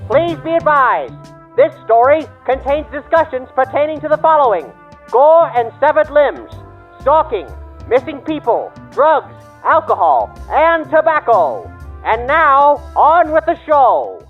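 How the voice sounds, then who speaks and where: A man hosts with courtly, theatrical formality, his pitch swinging widely. He comes through a thin, radio-like band with faint music behind. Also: big pitch swings, measured pace, narrow, tinny audio. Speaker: podcast host. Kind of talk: formal theatrical scripted hosting.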